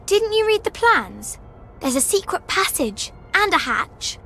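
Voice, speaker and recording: A woman's voice: very high-pitched, sing-song, unhurried, bright, forceful tone, soft background music, heavily acted. An actress playing a precocious, bossy young girl in a video game, pert and matter-of-fact. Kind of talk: video game character acting.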